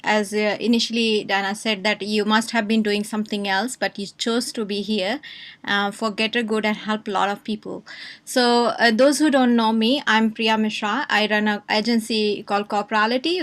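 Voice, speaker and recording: A woman moderating an online panel, speaking haltingly with many fillers and restarts. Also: mid-range voice, a bit bright. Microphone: video call.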